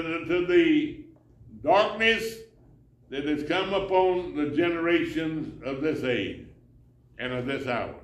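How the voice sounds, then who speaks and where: An older man preaching a sermon while seated in a church sanctuary, reading scripture and gesturing with his hands. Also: a little high. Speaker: pastor. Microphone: far camera. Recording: roomy church echo, from far off.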